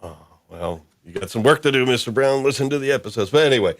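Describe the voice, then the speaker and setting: A man's voice: medium pitch, animated, fast. A man hosting a casual discussion, chatting in a conversational way. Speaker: podcast host. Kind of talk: casual chat.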